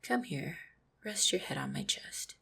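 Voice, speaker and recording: A woman voicing an affectionate, supportive orc fiancée in an intimate roleplay audio, speaking tenderly and reassuringly with gentle teasing, often close to a whisper. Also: fairly low pitch, huge pitch swings, unhurried, exaggerated voice. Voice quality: breathy, husky, often near-whispered.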